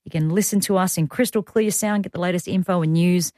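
A woman's voice: fairly low pitch, fairly even intonation, fairly quick, warm tone, no echo, clean studio sound. An Australian woman in her thirties hosting a talk radio show, chatting through the rundown with personal asides. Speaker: radio host. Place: radio studio. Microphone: studio microphone.

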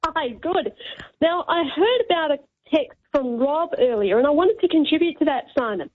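Lady calling in on a phone line, high pitched, eager to share a story. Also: lively intonation, normal speed. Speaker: caller. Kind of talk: phone-in chat.

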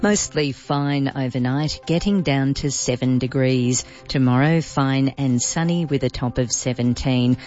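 Newsreader reading weather. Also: female voice, a bass voice. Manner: brisk, even reading. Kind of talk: weather report. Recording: dull broadcast audio with faint music.

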